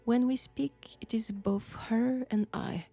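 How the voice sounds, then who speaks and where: A woman with a French accent playing an enigmatic, ominous occult professor in an audio roleplay, speaking deliberately and in a hushed way. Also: a little high, fairly even intonation, creaky, heavily acted. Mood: eerie, composed. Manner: slow, deliberate, hushed, ominous, with whispered phrases. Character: enigmatic female occult professor, possessed vessel of an ancient entity. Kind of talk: audio roleplay acting addressed to the listener. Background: faint music.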